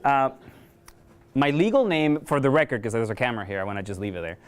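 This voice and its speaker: A man's voice: medium pitch, quick pace, clean tone. Chatty man giving a talk. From Venezuela. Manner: conversational and self-deprecating, with frequent fillers. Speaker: guest speaker, video journalist. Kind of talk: conference talk. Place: lecture room, filmed.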